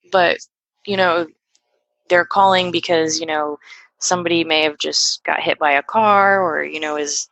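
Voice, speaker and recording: A woman's voice: on the low side, fairly even intonation, measured pace, fairly bright tone, no echo, rough recording. A young woman guest on a podcast, talking casually and hesitantly with lots of fillers and restarts. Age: young adult. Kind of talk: casual conversational interview.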